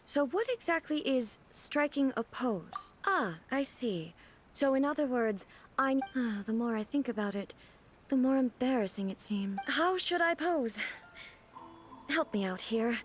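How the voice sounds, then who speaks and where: An actress playing a dignified, reclusive female ruler in a video game, speaking in formal, measured and earnest phrases. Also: high voice, lively intonation, soft background music. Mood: puzzled, self-conscious. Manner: formal, measured, deliberate wording. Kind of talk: English video game voice acting.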